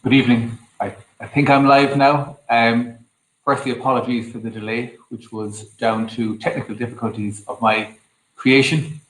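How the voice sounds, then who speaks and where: Irish man for an informal diplomatic address, mid-pitched and level, echoey room. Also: little pitch movement, moderate pace. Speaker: diplomat, guest speaker. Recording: echoey room, live video stream.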